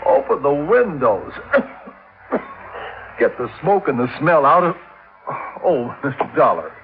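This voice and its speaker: An American actor playing a gruff, middle-aged husband, blunt, skeptical and scolding, in a 1950s radio drama. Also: male voice, on the high side, sing-song, slow, heavily acted. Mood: scornful, irritated. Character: gruff, scornful, skeptical husband and bereaved father. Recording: tinny, hissy 1950s radio recording.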